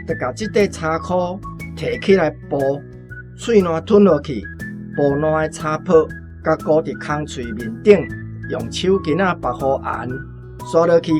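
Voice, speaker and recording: A woman playing an old country grandfather in a radio drama, opinionated and bluntly instructive, with a Taiwanese accent. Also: deep voice.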